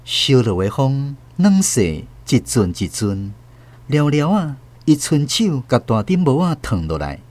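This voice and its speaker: Slow, expressive Taiwanese male storyteller. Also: mid-range voice. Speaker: radio drama narrator. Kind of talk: scripted literary narration.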